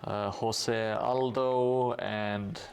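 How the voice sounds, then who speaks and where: A man's voice: fairly low pitch, fairly even intonation, extremely slow, vocal fry, clean tone. A man streaming live while he paints a tabletop gaming board, talking casually with many fillers and restarts and stopping to thank his viewers.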